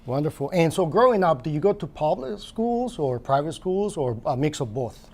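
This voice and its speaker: A man's voice: fairly high pitch, sing-song, moderate pace, a little mellow. A man hosting a talk show, asking questions conversationally and encouraging his guest. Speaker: talk show host and interviewer. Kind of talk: conversational interviewing.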